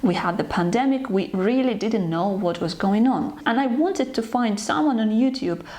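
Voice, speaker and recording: A young woman from Ukraine, hosting her own channel, talking to her viewers about herself in an unhurried, earnest, slightly hesitant way. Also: fairly high pitch, animated, darkish tone. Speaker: channel host. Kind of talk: personal talk to camera.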